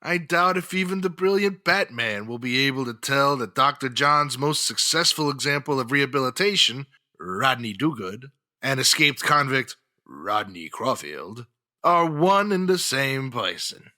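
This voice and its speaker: Man acting a sharp, scheming crime boss. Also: medium pitch, animated, a little slow. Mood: smug, scheming. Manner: plotting, smug. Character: scheming crime boss, escaped convict, smug and menacing. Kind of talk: comic audio drama acting.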